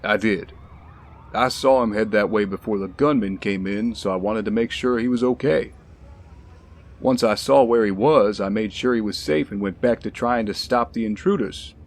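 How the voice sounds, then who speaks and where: A man playing a steady, loyal ex-soldier bodyguard in an audio drama, calm and measured. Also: fairly low pitch, lightly acted. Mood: modest, reassuring.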